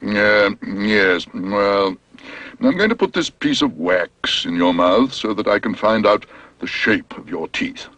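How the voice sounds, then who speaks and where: A man voicing a fussy, pompous middle-aged male dentist in a storybook reading, speaking slowly and deliberately. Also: fairly low pitch, expressive, a bit bright, no room sound. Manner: slow, measured, pompous.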